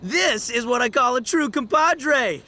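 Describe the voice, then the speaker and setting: Brash swaggering gang boss. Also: male voice, extremely high pitch, expressive, unhurried, sharp tone, no room sound, heavily acted. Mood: cocky. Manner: boastful, showy, theatrical. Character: brash cocky young male gang boss.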